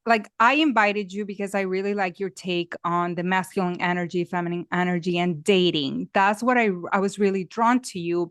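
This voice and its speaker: A woman's voice: mid-range voice, no room sound, clean studio sound. A woman from Mexico with a Mexican accent, hosting a podcast interview in an eager, chatty way. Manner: eager, chatty, laughs easily. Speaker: podcast host. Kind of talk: casual interview chat.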